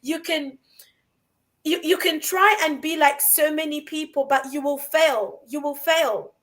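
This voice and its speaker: A woman's voice: high voice, unhurried, a bit bright. A woman hosting a casual podcast chat, speaking emphatically and repeating phrases for stress. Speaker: podcast host. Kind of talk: casual chat about confidence.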